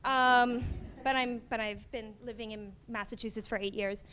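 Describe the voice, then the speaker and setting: A young woman from Miami presents at a conference in a relaxed, conversational way, dropping wry asides as she goes. Her voice sits high and comes through smooth and clear. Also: normal speed. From Miami, USA. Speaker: community college librarian.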